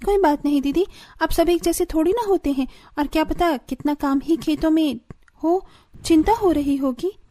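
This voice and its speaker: A woman's voice: high-pitched, moderate pace, dark tone, close to an everyday voice. A woman voicing a caring, anxious young daughter-in-law in a family cartoon, earnest and concerned. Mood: puzzled.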